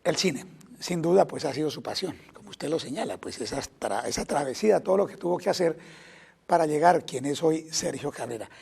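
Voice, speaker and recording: A man interviewing a guest, asking long, formal questions with a husky voice. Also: on the high side, darkish tone. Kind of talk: news interview.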